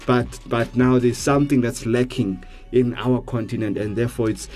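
A young South African man co-hosting a faith radio show, chatting casually in a halting way with lots of fillers and restarts. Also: medium pitch, fairly even intonation, a little mellow, soft background music. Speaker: radio co-host.

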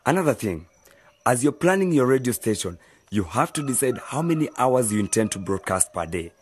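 A husky male voice plays a sensible older brother in a radio drama. He is patient and measured, laying out advice point by point. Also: medium pitch, big pitch swings. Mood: patient, instructive. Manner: measured, explanatory.

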